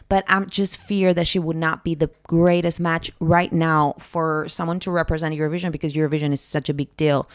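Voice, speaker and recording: A woman's voice: sits low, clean tone, no echo, studio quality. A young woman from Greece, a singer herself, chatting casually and expressively as she ranks song contest entries on her vlog. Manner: chatty and expressive, gushing with repeated words. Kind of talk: casual reaction chat.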